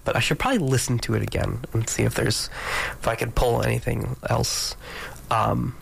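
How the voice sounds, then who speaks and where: A man's voice: medium pitch, fairly even intonation, moderate pace, gravelly, husky, low-quality recording. A male radio DJ talking casually between songs, congested from allergies, rambling with many ums and restarts. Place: radio studio.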